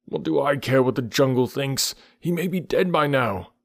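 A man's voice: medium pitch, normal speed, vocal fry, no room sound, clean studio sound, voice pushed up. A man doing a stern scornful panther.

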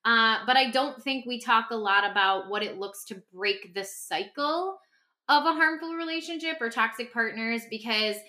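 A woman's voice: fairly high pitch, moderate pace, sharp tone. A woman author and podcaster explaining things conversationally, often voicing imagined dialogue as she goes. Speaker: podcast host and author being interviewed.

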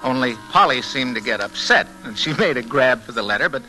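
An actor playing a breezy, chatty young husband who narrates in an old-time radio comedy mystery. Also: male voice, mid-range voice, expressive, brisk, bright, forceful tone, rough recording. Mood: chatty, recounting. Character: breezy, talkative young husband, amateur-sleuth mystery novelist. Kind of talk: old-time radio acting, conversational first-person narration. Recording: vintage radio recording, music behind.

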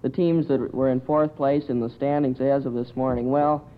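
A man's voice: medium pitch, steady tone, normal speed. A male quiz panelist answering earnestly and precisely, hesitating as he thinks aloud. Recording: tinny, narrow old radio recording.

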